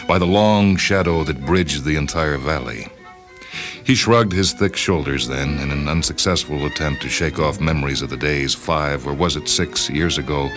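A man hosting and reading short stories as scripted literary narration. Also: rumbling low. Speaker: host and story reader. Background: music and sound cues.

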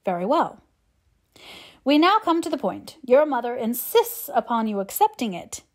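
A woman voicing a calm, ironic older father in an audiobook, with a deadpan, measured manner. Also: a little high, voice pushed up. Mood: dryly grave. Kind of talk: audiobook character acting.

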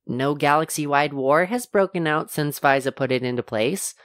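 Woman voicing a low-pitched, polite robot helper. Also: no echo, clean studio sound, close to an everyday voice. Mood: earnest, explaining. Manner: formal, measured, careful phrasing. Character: polite, precise robot AI helper, a little unsure. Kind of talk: science fiction audio drama acting.

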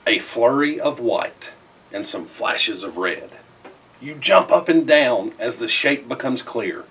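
A middle-aged man reads a rhyming children's picture book aloud in an expressive, theatrical way with emphatic phrasing. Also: mid-range voice, slow pace, far from the mic, slight background noise. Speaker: storybook reader. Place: indoors in front of a festive backdrop.

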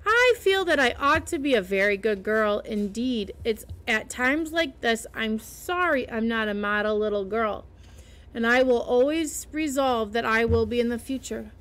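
Lady reading as a dreamy little orphan girl, gushy and breathless, way up high. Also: expressive, moderate pace, a bit bright. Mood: rapturous. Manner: breathless, rapturous, exclamatory.

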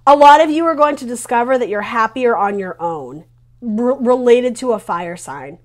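A woman's voice: on the high side, expressive, normal speed. A woman in her thirties giving a casual tarot reading, talking informally and reassuringly as she thinks aloud. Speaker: tarot reader hosting a video reading. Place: small decorated room indoors. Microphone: lavalier clipped to T-shirt.

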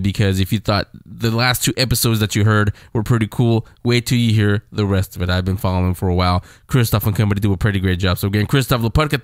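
A man's voice: fairly low pitch, no echo, studio quality. A man presenting a podcast casually as its host.

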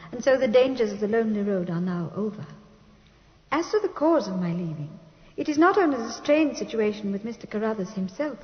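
A woman playing a refined, anxious young British gentlewoman reading a letter aloud in 1950s radio drama. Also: fairly high pitch, lightly acted. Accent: British English. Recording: muffled 1950s radio, long reverb.

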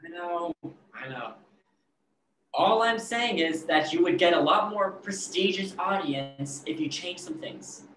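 A man's voice: high voice, animated, measured pace, a bit bright. Young guy acting a sulky argumentative painter dude, roomy echo, noisy call, play reading. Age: young adult. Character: sullen, argumentative young male aspiring painter. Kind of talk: virtual staged play reading. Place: bare brick-walled room, video call. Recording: echoey room, noisy video call.

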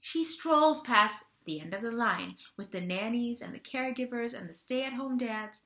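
A woman's voice: a little high, moderate pace, sharp tone, roomy sound. A woman in her thirties giving a theatrical scripted reading, with dramatic, emphatic storytelling, recorded at home on a webcam. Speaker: actor giving a staged reading. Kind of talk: theatrical scripted narration of a stage play. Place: small bare-walled room at home.

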